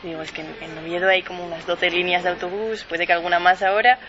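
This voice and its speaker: A woman's voice: lowish voice, highs cut off, crowd noise. Chatty young woman hosting radio. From Spain. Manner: relaxed, chatty, with quick agreeing murmurs. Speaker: radio host. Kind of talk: casual radio interview.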